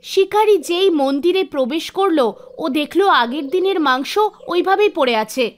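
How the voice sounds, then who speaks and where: A woman's voice: extremely high pitch, expressive, a little slow. A woman reading scripted narration for a devotional cartoon story. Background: background music.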